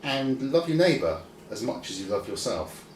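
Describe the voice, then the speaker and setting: A man's voice: mid-range voice, very animated, moderate pace. A man voicing a testing, self-assured religious teacher in a children's Bible story. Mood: reciting, sure. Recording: roomy, distant mic, faint noise.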